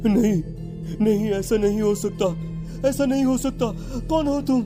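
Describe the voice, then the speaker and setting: A man playing an anxious, bewildered young man in an animated horror story, halting and repeating his words. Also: high-pitched. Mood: alarmed. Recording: loud music under the voice.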